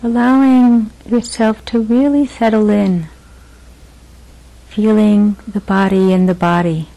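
Slow, gentle woman guiding meditation. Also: medium pitch, fairly even intonation, gravelly, poor audio. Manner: gentle, unhurried, with long pauses between short phrases. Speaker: meditation teacher. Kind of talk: guided meditation.

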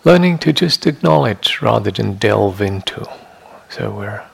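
A man teaching a guided meditation in a meditation hall, speaking in measured short phrases with long pauses and a husky, gravelly voice. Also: lowish voice, animated.

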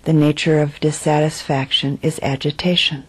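A woman teaching meditation, speaking in measured, deliberate phrases with frequent pauses as she guides a reflection. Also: sits low, fairly even intonation, breathy edge. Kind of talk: guided meditation, dharma talk.

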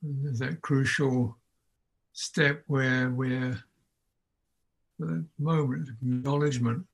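Slow, slightly husky man guiding meditation. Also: medium pitch, fairly even intonation, rough recording. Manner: unhurried, contemplative, long pauses between short phrases. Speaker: Buddhist monk, meditation teacher. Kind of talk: unscripted guided meditation talk.

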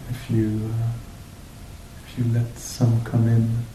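A man teaching meditation to a group, speaking reflectively and off the cuff, with hesitant pauses between short phrases. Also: monotone. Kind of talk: guided meditation talk, unscripted and reflective. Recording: roomy echo, faint noise with low rumble.

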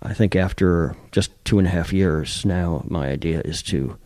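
A man's voice: sits low, moderate pace, a little mellow, no echo, studio quality. A man modestly and tentatively sharing his meditation experience in a group. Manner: modest, self-deprecating, tentative.

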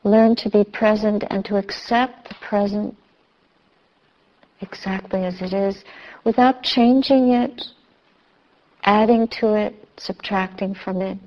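Female meditation teacher, slow and measured, soothing guidance, slightly lo-fi sound. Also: mid-range voice, steady tone. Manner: measured, soothing, with long pauses. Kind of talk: guided meditation instruction. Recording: slightly dull, muffled recording.

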